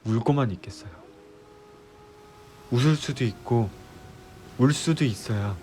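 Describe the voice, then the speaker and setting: A man playing an earnest, disillusioned young fiancé in an audio drama, delivering a heartfelt, pleading monologue with deliberate pauses. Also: mid-range voice, darkish tone, natural voice. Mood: earnest, sorrowful. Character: earnest, disillusioned young male fiance, resolved to leave utopia. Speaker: audio drama creator and voice actor. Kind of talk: Korean ASMR audio drama roleplay. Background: background music.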